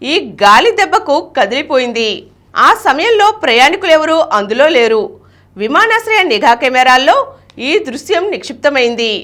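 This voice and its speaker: A woman news reader delivers a scripted bulletin. Her voice sits high in pitch, and her intonation swings widely from phrase to phrase. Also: fairly bright tone.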